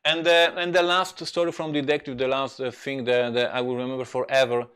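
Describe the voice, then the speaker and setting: A man who is not a native English speaker, a game designer telling anecdotes on a live stream in a halting, emphatic way. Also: medium pitch, little pitch movement, fairly bright tone, clear, resonant tone. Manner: halting, emphatic storyteller with repeated words. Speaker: board game designer and presenter.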